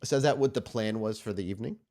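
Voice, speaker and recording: A man's voice: on the low side, fast talker, warm tone. A man narrating a tabletop roleplaying game as game master in a conversational, descriptive way.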